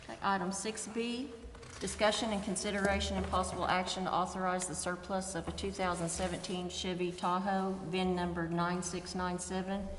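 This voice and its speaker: A woman presiding over a council meeting, reading agenda items in a steady, measured way. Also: on the low side, a little hiss or hum. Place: council chambers. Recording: echoey chamber with long reverb.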